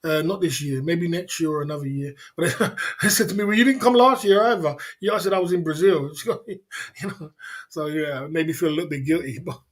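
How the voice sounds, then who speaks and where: A man's voice: fairly high pitch, a little fast. A man, casually hosting a livestream, rambling and laughing often as he answers viewers. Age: 50s. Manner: rambling, laughs often, repeats filler phrases. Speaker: livestream host. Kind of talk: casual livestream chat reading viewer comments.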